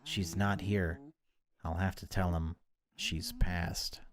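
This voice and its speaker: Low-voiced man narrating horror. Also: level tone, takes it slowly. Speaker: gaming video creator reading a visual novel aloud. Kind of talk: dramatic narration of horror game text.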